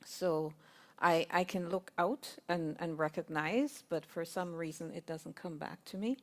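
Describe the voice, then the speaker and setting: A woman's voice: fairly low pitch, a little slow. A woman, sharing thoughtful reflections in a group discussion at a spiritual centre. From Guyana. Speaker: congregation member sharing.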